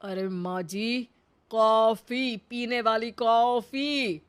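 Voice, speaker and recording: A woman's voice: fairly high pitch, fairly even intonation, drawn-out words, smooth. Woman voicing an exasperated, formal office boss. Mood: firm, impatient. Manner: formal, increasingly flustered. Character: exasperated office boss trying to stay polite. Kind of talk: comic cartoon story acting.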